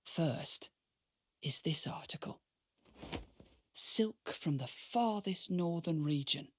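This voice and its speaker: An actor playing a courteous, teasing merchant in a radio drama, speaking in formal, flattering, eloquent phrases. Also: male voice, fairly high pitch, slow pace, a little hiss or hum. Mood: smooth, deferential. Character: courteous, teasing male merchant, a grateful former slave. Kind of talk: scripted radio drama acting.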